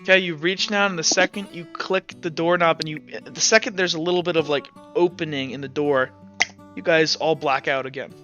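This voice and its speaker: A young man with a high, bright voice runs a tabletop roleplay game. He talks casually, halting and restarting often, with faint music playing behind him. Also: steady tone, dull audio. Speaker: game master.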